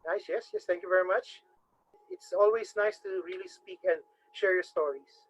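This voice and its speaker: High-voiced man with Filipino accent. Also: steady tone, normal speed, slight background noise. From Philippines. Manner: formal, addresses the audience as ladies and gentlemen. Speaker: webinar trainer. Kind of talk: instructional webinar talk. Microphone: video call microphone. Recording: dull lo-fi webinar audio.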